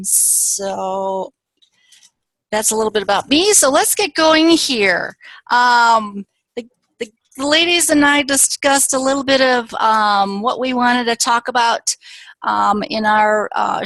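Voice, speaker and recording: A woman from northern Wisconsin hosting an online author panel, talking in a halting way with many fillers. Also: on the high side, a bit bright, no echo, low-quality recording. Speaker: panel host. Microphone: video call.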